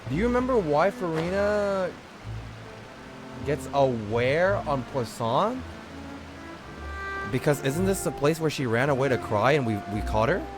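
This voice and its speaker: Slangy game streamer, lively muttered asides. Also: medium pitch, measured pace, long pauses. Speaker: live streamer reacting to a game. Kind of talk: casual gameplay commentary. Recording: game music behind the voice. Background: game music and dialogue.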